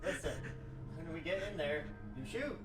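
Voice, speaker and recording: A man's voice: on the high side, expressive, moderate pace, close to an everyday voice. Guy doing a bossy grim scientist in a homemade radio play, urgent and hammy, far from mic, bit of music. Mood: urgent, commanding. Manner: terse, commanding, melodramatic. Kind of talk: amateur radio play acting. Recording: roomy, distant from microphone.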